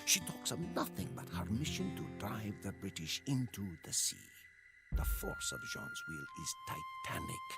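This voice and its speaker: Game cutscene narrator: a weary, loyal medieval soldier chronicling a war, deep, solemn, expressive delivery over music. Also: male voice. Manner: solemn, storyteller-like. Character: weary, devoted French soldier chronicling Joan of Arc's war. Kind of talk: scripted dramatic narration. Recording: music behind the voice.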